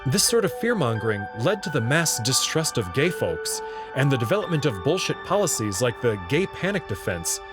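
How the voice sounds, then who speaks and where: Expressive man narrating over music. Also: fairly high pitch. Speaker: narrator and host of a visual novel reading. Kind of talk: scripted narration and commentary.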